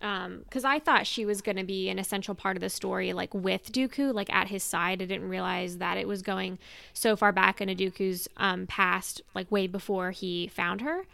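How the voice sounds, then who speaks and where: Casual female podcast panelist, bright and crisp with vocal fry, close dry sound. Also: medium pitch, fairly even intonation, normal speed, no echo. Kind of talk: casual chat.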